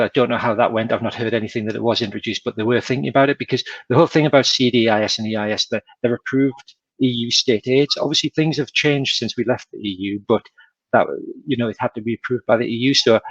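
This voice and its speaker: A man of medium pitch walks through rules methodically as a panel expert. His sound is dull and dry, like an ordinary video-call line. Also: a bit bright, husky, no room sound. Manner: methodical, explains step by step. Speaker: tax adviser panelist.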